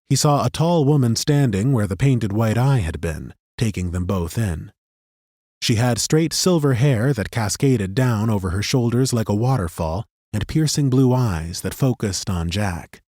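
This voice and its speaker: A man narrating a fantasy audiobook in a steady, measured storytelling manner on a studio microphone. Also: fairly low pitch, no room sound.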